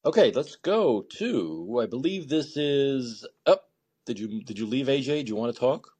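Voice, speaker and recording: A man's voice: mid-range voice, big pitch swings, moderate pace, darkish tone, breathy edge. A man hosting a call-in talk show, blunt and sarcastic, rambling freely through his opinions in live monologue.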